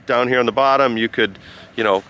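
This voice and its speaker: A man giving a casual vlog-style review outdoors in a halting, rambling way. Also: mid-range voice, a bit bright, low-quality recording. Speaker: product reviewer and amateur radio operator. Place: outdoors by a rural road.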